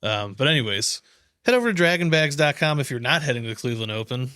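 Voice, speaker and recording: A man's voice: medium pitch, lively intonation, fast, sharp tone, no echo. Slangy American man, close and dry. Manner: informal, slangy. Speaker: podcast co-host. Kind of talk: casual chat and informal sponsor plugs.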